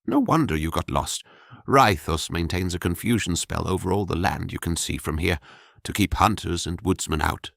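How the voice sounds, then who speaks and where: Brief: man voicing a blunt young maid, deep harsh voice, gravelly edge, audiobook. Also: lively intonation, normal speed, no room sound, studio quality, full character voice. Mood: wary. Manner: blunt, sharp-tongued.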